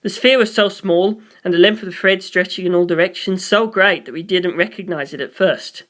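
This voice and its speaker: Someone narrating a written story, bright sharp voice, reading from a script. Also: mid-range voice, brisk. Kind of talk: scripted narration of fan fiction.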